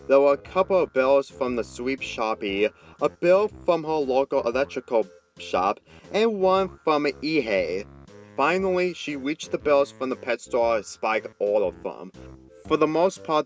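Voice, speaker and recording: Man narrating a story over music. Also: mid-range voice. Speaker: fan fiction reader.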